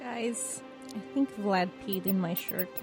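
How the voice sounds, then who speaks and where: A woman's voice: medium pitch, takes it slowly, a little mellow. A woman playing a tabletop role-playing game, bantering casually with the group. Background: prominent music.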